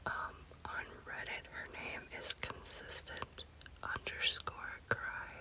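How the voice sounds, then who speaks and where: A woman whispering in a hushed, unhurried manner as an ASMR storytelling host. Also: low-quality recording.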